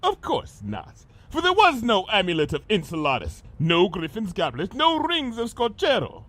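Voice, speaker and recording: High pitched vengeful monster baddie, super melodramatic and pompous, pitch goes all over the place. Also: male voice, wildly swooping pitch, normal speed, a bit bright, exaggerated voice. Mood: smug, indignant. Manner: pompous, florid, melodramatic diction. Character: theatrical vengeful male monster villain, grandiose and menacing. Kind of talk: dramatized fan fiction audio reading.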